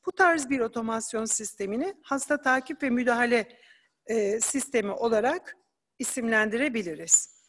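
A woman's voice: a little high, fairly bright tone, a little hiss or hum. Woman presenting slides in a reverberant hall. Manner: measured, explanatory, with hesitation fillers. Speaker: conference presenter.

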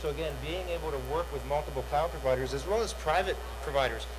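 Male presenter, middle-aged, salesy conference pitch with "uh"s, slightly raised voice, noisy hall recording. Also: fast. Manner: salesy, repeats words, many "uh" fillers. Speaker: product demo presenter. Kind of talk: conference tech demo. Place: large dark conference hall, on stage.

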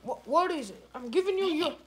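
A woman's voice: high voice, animated, brisk. A woman doing an improvised comedy skit with plush toys on a living room couch, with a drawling, mumbly delivery. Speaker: puppeteer voicing plush toys.

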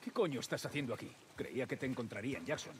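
A man's voice: medium pitch, lively intonation, rapid-fire, a little hiss or hum, close to an everyday voice. A man playing a terse, guarded middle-aged survivor in a video game, with a Castilian Spanish accent. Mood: dry. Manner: terse, gruff. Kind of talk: naturalistic video game acting.

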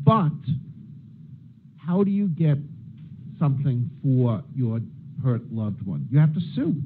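Middle-aged male panelist, halting and full of ums, slightly high voice, thin phone-like sound. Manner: halting, with many fillers and restarts. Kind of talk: panel discussion remarks. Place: conference room with a panel table. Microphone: handheld mic.

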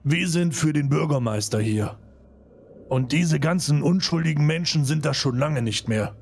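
A man voicing a solemn, resolute adventurer in a tabletop game, speaking gravely. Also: a little high, normal speed. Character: solemn, resolute male adventurer consoling a companion.

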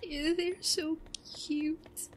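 A young woman voicing a shy, giggly, obsessive schoolgirl stalker in a roleplay audio drama, breathy and halting. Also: extremely high pitch, flat delivery, a little hiss or hum, exaggerated voice. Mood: obsessed, hushed.

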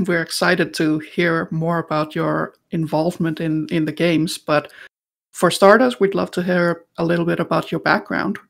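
Creaky-voiced woman hosting a podcast. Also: lowish voice, fairly even intonation, normal speed. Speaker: podcast host. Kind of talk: friendly scripted-sounding interview hosting.

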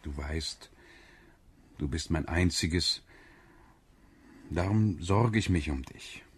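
A man's voice: low-pitched, takes it slowly, slightly rough, exaggerated voice. A man in a German radio drama playing a stern, blustering yet doting middle-aged father. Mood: gentle, coaxing.

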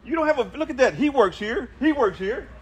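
A man's voice: very high-pitched, expressive, fairly quick. An older man arguing as a customer, indignant and emphatic, repeating himself as he goes. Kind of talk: heated argumentative chat. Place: aisle of a large warehouse store. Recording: noisy store ambience.

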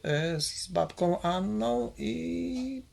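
An older man recalling his family history in a halting way, full of pauses and hesitations. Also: high voice, lively intonation, gravelly, a little mellow. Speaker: interviewee, longtime local resident. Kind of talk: oral history recollection.

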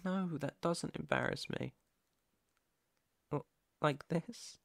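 A man's voice: fairly high pitch, takes it slowly, vocal fry, full character voice. A British man playing a shy, sweet, easily flustered young best friend in an intimate ASMR roleplay, stammering bashfully and tenderly. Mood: bashful, playful. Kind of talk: ASMR roleplay acting, intimate one-sided dialogue.

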